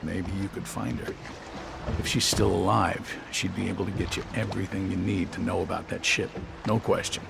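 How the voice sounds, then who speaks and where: Shady informant, deep heavy gravel, noisy background. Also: male voice, fairly even intonation, normal speed.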